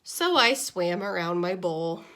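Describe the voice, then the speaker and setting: Woman as a slow, dramatic, grumpy little goldfish. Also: on the low side, voice pushed down. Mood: flat, bored. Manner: deliberate, dramatic, expressive. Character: small grumpy, easily exasperated pet goldfish narrating a diary. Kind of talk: expressive children's picture-book read-aloud.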